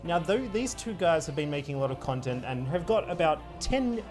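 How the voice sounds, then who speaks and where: Male co-host, lightly high-pitched, casual explainer, faint music underneath. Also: expressive, brisk. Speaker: co-host of a video game show.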